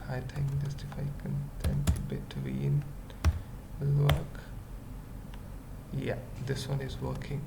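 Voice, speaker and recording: A tutorial presenter thinking aloud in halting, murmured fragments during an informal coding screencast. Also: mid-range voice, creaky, background noise. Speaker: web development tutorial presenter.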